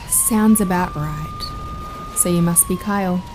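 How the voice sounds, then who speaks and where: A woman's voice: on the low side, wildly swooping pitch, extremely slow, music in the background, exaggerated voice. A woman acting the ghost of a young woman, frank and matter-of-fact, in an audio drama. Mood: wry, matter-of-fact.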